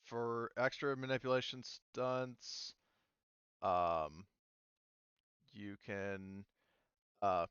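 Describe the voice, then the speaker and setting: A man serving as game master for a tabletop roleplaying session, who stops and restarts often as he explains the rules. His voice is smooth and clear. The recording is dry and dull, like lo-fi online call audio. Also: mid-range voice, fairly bright tone, no echo. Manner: hesitant, lots of ums and restarts.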